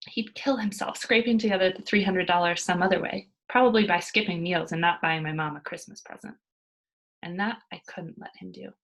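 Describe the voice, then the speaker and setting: A woman reads a children's story aloud in a lowish voice with a slight husk. Her delivery is expressive and emphatic, dropping into hushed moments for drama, like a teacher reading to pupils. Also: vocal fry, poor audio.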